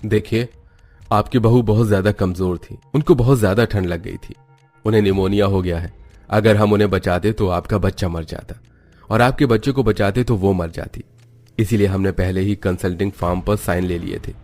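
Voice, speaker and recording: Cartoon role male voice actor: serious hospital doctor, formal and measured, dark low tone. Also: lightly acted. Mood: grave.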